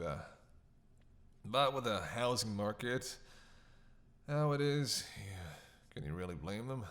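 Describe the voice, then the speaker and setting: A man playing a captive vampire in a dramatic roleplay, witty, flirtatious and menacing, with a sarcastic, teasing drawl. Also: on the low side. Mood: mocking. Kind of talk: dramatic roleplay monologue to the listener.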